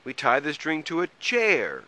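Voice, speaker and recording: A man's voice: fairly high pitch, huge pitch swings, a little slow, pushed and bright, pitched above the performer's own voice, full character voice. A man voicing a practical, easily exasperated but kind-hearted mole in a children's story, speaking earnestly and emphatically.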